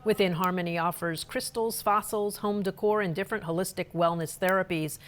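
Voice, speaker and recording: Female news reporter, slightly low voice, scripted local-story narration. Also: steady tone, fairly quick.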